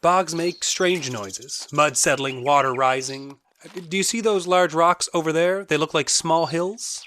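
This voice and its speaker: A man's voice: a little high, measured pace, pushed and bright, a little hiss or hum. A man acting in an audio drama as a genial, eccentric naturalist who guides visitors with eager, theatrical and sometimes ominous storytelling.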